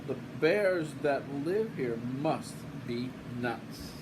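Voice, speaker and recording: A man's voice: on the high side, extremely slow. An older man voicing a headstrong, greedy little girl in a storybook, with a blunt, exclaiming delivery. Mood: groggy, exasperated. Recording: home recording with steady room hum.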